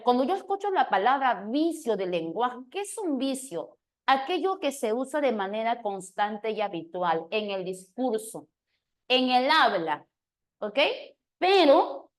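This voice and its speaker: A woman teacher giving an online exam-prep grammar class, explaining step by step and checking in with her students as she goes. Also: fairly high pitch.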